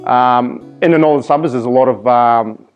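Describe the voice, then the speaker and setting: A man's voice: mid-range voice, moderate pace, soft background music. Earnest young man, native Arabic speaker. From Iraq. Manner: earnest, hesitant with many ums and restarts. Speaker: volunteer firefighter. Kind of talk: interview answers. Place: fire station office.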